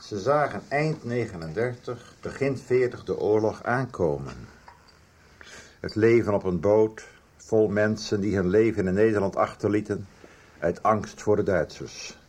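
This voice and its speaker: An actor playing an older book publisher who haltingly and deliberately recounts a guilty past in a radio drama. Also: male voice, on the low side, darkish tone. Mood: reflective, confessional. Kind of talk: radio drama dialogue, reminiscing.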